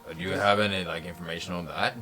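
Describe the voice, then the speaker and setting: A man's voice: low-pitched, steady tone, very fast, sharp tone, roomy sound. A man playing a tabletop roleplaying game, speaking in stop-start phrases as he slips between character talk and table chat. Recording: faint music behind. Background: faint music.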